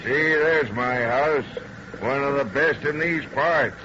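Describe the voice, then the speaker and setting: An actor playing a strange, proud and stern old-time buggy driver in a radio drama, speaking deliberately and matter-of-factly. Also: male voice, mid-range voice, close to an everyday voice. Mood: boastful. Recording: muffled, noisy old radio recording.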